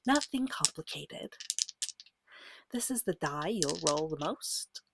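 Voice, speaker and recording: A woman speaking softly and breathily, often near a whisper, gently and patiently guiding a beginner as game master in an ASMR roleplay. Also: deep voice, expressive, gravelly, noisy background. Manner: gentle, patient, reassuring, unhurried. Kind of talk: soft-spoken ASMR roleplay tutorial.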